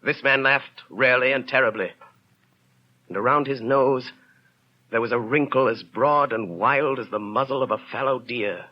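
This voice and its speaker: An actor playing a solemn, brooding storyteller in a 1930s radio drama, narrating in a grave, deliberate, literary way. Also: male voice, mid-range voice, lightly acted. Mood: grim. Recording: dull 1930s radio recording. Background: faint music.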